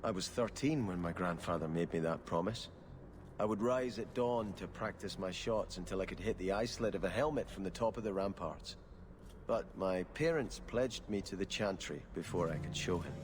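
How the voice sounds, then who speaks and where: An actor playing a devout, solemn exiled prince and archer in a video game, speaking in an earnest, formal, measured way. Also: male voice, low-pitched, a little hiss or hum, close to an everyday voice. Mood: earnest, reminiscing. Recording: music behind.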